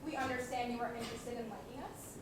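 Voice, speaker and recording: A woman's voice: a little high, steady tone, quick pace, close to an everyday voice. A young woman playing a prim, businesslike nine-year-old girl who speaks formally in a student stage comedy. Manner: formal, official, precise. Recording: very echoey hall, far mic, noisy.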